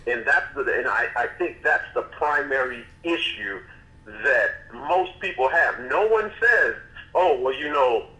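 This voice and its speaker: A man co-hosting a casual podcast chat, animated and tripping over restarts as he makes his point. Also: mid-range voice, unhurried. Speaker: podcast co-host. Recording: echoey room.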